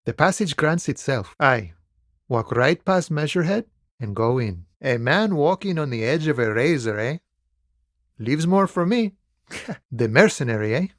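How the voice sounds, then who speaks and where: A man's voice: medium pitch, lively intonation, dry recording, studio quality. A male actor playing a laid-back, philosophical harbor worker on strike in a video game, speaking in an easygoing, wry, musing way. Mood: calm, wry. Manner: easygoing, wry, musing, unhurried folksy phrasing. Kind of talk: video game dialogue acting.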